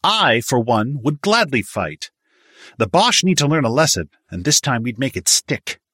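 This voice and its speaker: A male narrator puts on a haughty young man of the elite for an audiobook. The voice sits high and airy, with affected, condescending phrasing. It is recorded dry and close. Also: big pitch swings, measured pace, sharp tone, breathy edge, dry recording, full character voice. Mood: cocky, eager. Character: haughty, spoiled young upper-class man.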